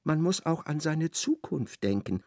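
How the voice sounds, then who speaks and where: A male radio play narrator with a very animated, theatrical delivery. His voice has a creaky grain and sits in a dry, close recording as he relays what people said. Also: on the high side, a little slow, dry recording. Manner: theatrical, retells dialogue in indirect speech.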